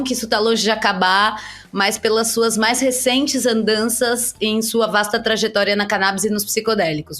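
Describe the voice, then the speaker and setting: A woman hosting a podcast, framing her interview questions in a measured, thoughtful way. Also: fairly high pitch, fairly bright tone. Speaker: podcast host.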